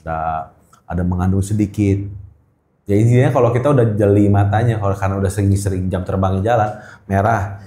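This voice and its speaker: A man's voice: on the low side, brisk, warm tone. A middle-aged man with a Jakarta accent, chatting casually as an interview guest, often repeating words for emphasis. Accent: Jakarta Indonesian. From Indonesia. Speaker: guest, gemstone trader. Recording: echoey room.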